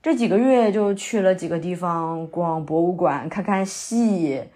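A woman's voice: on the low side, measured pace, warm tone, close to an everyday voice. A woman acting a young, sentimental, theatre-loving new graduate in a naturalistic audio drama, speaking in a hesitant, conversational way. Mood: easygoing, self-mocking. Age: young adult. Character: young woman fresh out of university, wandering, sentimental theatre lover.

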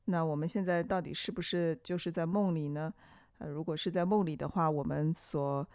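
A podcast host delivers a reflective, conversational monologue. The voice sits at a middle pitch in a dry, close recording. The phrasing is hesitant and musing, with pauses to think. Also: steady tone, a little mellow, clear, resonant tone, dry recording.

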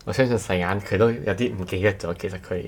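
A man with a Cantonese accent co-hosting a podcast in casual chat, speaking in halting, rephrased fragments. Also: deep voice, a little mellow. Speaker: podcast co-host.

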